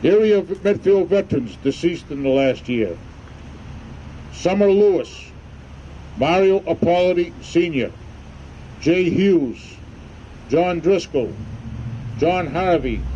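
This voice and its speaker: A man acting as master of ceremonies, making announcements and reading out names in a plain, deliberate way. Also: a little high, dark tone. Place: outdoor evening ceremony in a park. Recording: muffled, faint outdoor hum and traffic noise.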